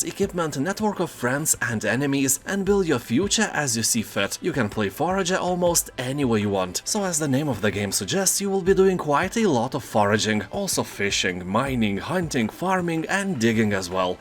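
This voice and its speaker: A man narrating a game deals video as a scripted voice-over, with wry joking asides. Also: medium pitch.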